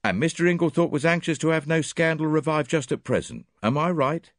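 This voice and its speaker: Fussy, excitable Belgian sleuth with a French-tinged lilt and a raised voice, read by a man. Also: on the high side, fairly quick, no echo, studio quality, voice pushed up, natural voice. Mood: confident. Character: fussy Belgian male detective, precise and excitable.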